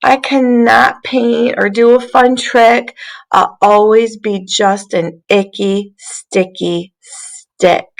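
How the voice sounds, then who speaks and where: A woman's voice: on the high side, level tone, slow, vocal fry, voice pushed up, low-quality recording. A woman voicing a glum, self-doubting little stick in a children's storybook, plaintive and drawing out words. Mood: dejected. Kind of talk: children's read-aloud character voice.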